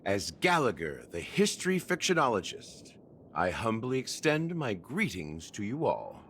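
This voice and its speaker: An actor playing a wry, mysterious middle-aged mastermind in a video game, speaking like a deliberate, teasing storyteller. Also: male voice, on the low side, very animated, a bit bright, a little hiss or hum, full character voice. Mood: theatrical, grave. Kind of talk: dramatic video game voice acting.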